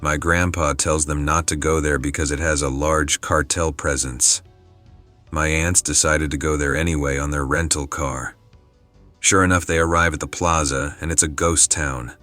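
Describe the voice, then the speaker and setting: A man reading forum posts aloud in a monotone. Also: rumbling low, normal speed. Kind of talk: scripted narration. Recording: music underneath.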